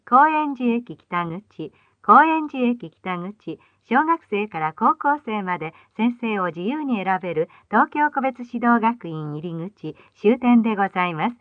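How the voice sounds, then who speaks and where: A woman's voice: a little high, no echo. A woman reading scripted bus stop announcements in a polite, formal, carefully enunciated way, played back from old tape. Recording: dull phone-like old tape playback.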